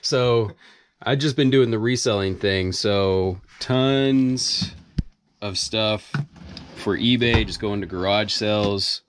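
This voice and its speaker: A man's voice: low-pitched, slight background noise. A man in his thirties, chatting casually on camera inside his converted school bus about his projects. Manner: relaxed, chatty explaining. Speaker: vlogger, bus owner. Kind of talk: casual vlog narration.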